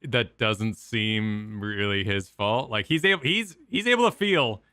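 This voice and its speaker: A man's voice: fairly low pitch, animated, measured pace, sharp tone, smooth, dry recording, studio quality. A man chatting casually and jokingly as co-host of a game reaction stream. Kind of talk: casual chat commentary. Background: faint game music.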